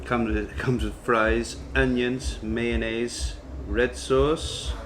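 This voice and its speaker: A man's voice: mid-range voice, flat delivery, extremely slow, background noise. A man from England with a mixed English and Maltese accent, chatting casually about food in a pub. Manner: chatty, laughs often, restarts sentences. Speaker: food vlogger. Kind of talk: casual chat. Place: pub dining area. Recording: music playing in the background.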